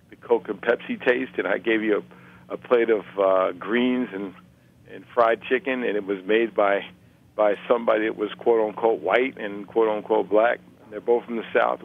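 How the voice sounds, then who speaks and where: A man's voice: fairly low pitch, vocal fry. A man making a case in a podcast conversation, heard through a phone-like line. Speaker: guest, food historian. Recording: tinny phone-line sound.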